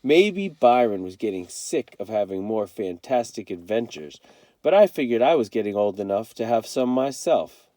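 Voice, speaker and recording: A man reading a first-person children's novel aloud as an expressive storyteller. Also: on the low side, moderate pace, dry recording.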